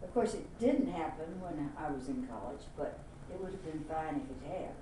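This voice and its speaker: A woman's voice: sits low, moderate pace. An older woman from Miami, Florida, plainly recalling her youth in an oral history interview. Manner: plain-spoken, reflective. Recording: echoey room, mic at a distance, faint hiss.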